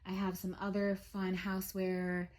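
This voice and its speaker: A young woman with a head cold, congested and a little scratchy, giving a relaxed spiritual reading monologue with many ums. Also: mid-range voice. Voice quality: scratchy throat. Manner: slow, soothing, with frequent um fillers. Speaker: tarot reader.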